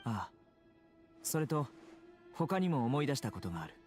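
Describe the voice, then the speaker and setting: A man's voice: mid-range voice, big pitch swings, a little mellow, soft background music, close to an everyday voice. Grave, composed young man, voiced by an actor. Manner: measured, grave, matter-of-fact. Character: stern, composed young man, resolute desert guardian. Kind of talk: Japanese anime game voice acting.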